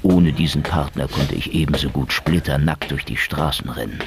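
An actor playing a cynical, world-weary Russian ex-detective in a noir radio drama, with a wry, mocking delivery. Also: male voice, a bass voice, moderate pace, creaky, background noise. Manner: sardonic, wry, theatrical delivery.

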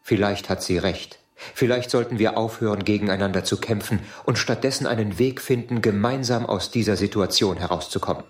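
A man's voice: on the low side, brisk, lightly acted. A man as an earnest traveler, echoey. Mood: conciliatory. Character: earnest, conciliatory male space traveler, naively reasonable. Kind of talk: scripted audio drama acting. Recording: echoey room.

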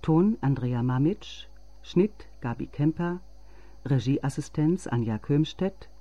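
A man's voice: fairly high pitch, lively intonation, slow pace, mellow, no room sound, dull audio. A man reading scripted credits as a radio drama announcer, in a dry studio recording with dulled sound.

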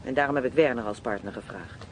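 A man's voice: medium pitch, fast talker, lightly acted. A man acting a brash, streetwise Dutch criminal underling in a radio play, blunt, coarse and slangy. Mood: bitter, defiant. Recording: faint noise, radio play recording.

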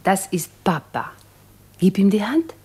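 An actress playing a young mother who coaxes and gently chides in a German radio drama. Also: medium pitch, very animated, a little slow, slightly rough, heavily acted. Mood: coaxing. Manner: coaxing, gently chiding. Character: young mother coaxing her small son.

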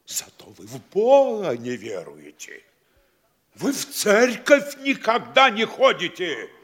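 A pompous, lecturing town mayor. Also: male voice, high voice, sing-song, slow pace, a bit bright, exaggerated voice. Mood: indignant. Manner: lecturing, scolding, theatrical. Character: pompous self-important male town mayor, middle-aged. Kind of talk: classic stage radio play acting.

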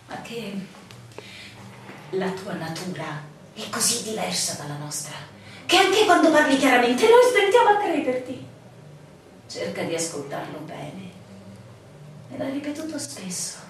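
Anguished barmaid played by an actress, very echoey recording. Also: female voice, medium pitch, natural voice. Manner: emotional, dramatic pauses, swinging intensity. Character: anguished young barmaid, lover, suspicious and wounded. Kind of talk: theatrical stage-style radio drama acting. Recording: very echoey room, distant mic, faint noise.

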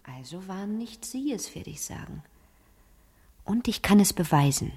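An actress playing a calculating fifteen-year-old girl in a German radio crime drama, speaking deliberately and sometimes hushed. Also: mid-range voice, animated, husky. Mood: smug, calculating. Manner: slow, deliberate, hushed at times.

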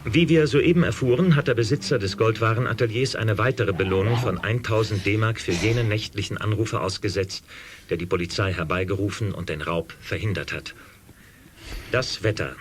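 A sober male newsreader delivering a formal radio bulletin. His voice is very deep and measured, coming from a radio set with faint noise. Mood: sober, official. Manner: measured, official.

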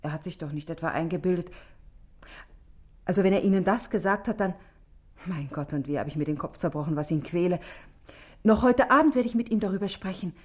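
An actress playing a self-possessed, guarded married shop manageress in a radio drama, speaking precisely and defensively. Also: female voice, on the low side, fairly quick, long pauses, lightly acted. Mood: agitated, wounded. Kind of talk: 1960s German radio drama acting.

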